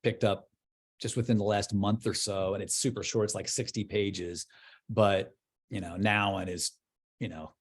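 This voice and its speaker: A man's voice: lowish voice, fairly even intonation, normal speed. A man in his fifties who is a guest in an interview. He speaks thoughtfully and casually, often searching for words and trailing off. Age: 50s. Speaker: church leader guest.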